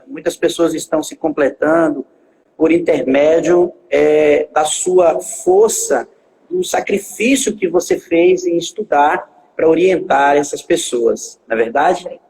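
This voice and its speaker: This is a man in his forties with a fairly high, gravelly voice, telling his own story in a halting way full of fillers and restarts. He sounds a little far from the microphone, in a space with a long reverb tail, and a faint hiss sits underneath. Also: expressive, darkish tone. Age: 40s. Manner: halting, with many filler pauses and repetitions. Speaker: guest pastor. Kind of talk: telling his life story in a live stream.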